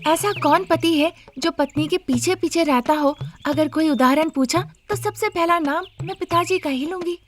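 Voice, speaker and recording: A woman's voice: very high-pitched, normal speed, few pauses, faint music behind, voice pushed up. A woman voicing an eager, persuasive young celebrity daughter-in-law in an emphatic, pleading way, in a story. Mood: musing.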